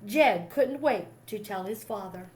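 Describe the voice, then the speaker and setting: A woman reading a children's history story aloud in an expressive storytelling style. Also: mid-range voice, a little slow. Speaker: storybook reader.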